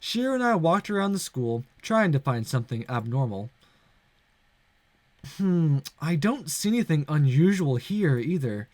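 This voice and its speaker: Man reading as anxious, wry teenage schoolboy, lively pitch. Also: normal speed, dry recording. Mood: uneasy. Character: anxious teenage schoolboy narrator of a spooky visual novel. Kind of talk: visual novel read-aloud.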